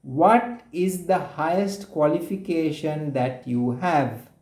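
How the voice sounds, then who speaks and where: A man's voice: a little high, takes it slowly, darkish tone, roomy sound. A man with a Sri Lankan accent teaching spoken English, patiently repeating questions and giving brief praise over a call. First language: Sinhala. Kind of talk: oral drill over a call.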